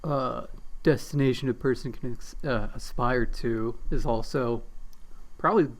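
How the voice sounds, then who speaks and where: Wry man with a mid-pitched voice. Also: unhurried. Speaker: podcast co-host. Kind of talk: casual comedy podcast banter.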